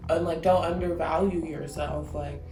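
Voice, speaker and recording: A woman co-hosting a podcast in casual chat, animated and full of filler words, swearing freely. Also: low voice, moderate pace. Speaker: podcast co-host. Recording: echoey room, music behind.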